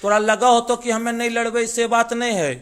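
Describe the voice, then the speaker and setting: Insistent high-pitched Bihari man on a call. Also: level tone, a little fast, fairly bright tone, clean tone. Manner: insistent, aggrieved. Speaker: caller in a leaked phone call. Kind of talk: heated phone argument in dialect. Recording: leaked phone call recording.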